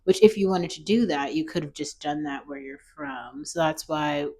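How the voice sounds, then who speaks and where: A woman's voice: deep voice, normal speed, warm tone. A woman with an American accent giving casual, opinionated commentary in a rambling, conversational way, as a vlogger talking off the cuff.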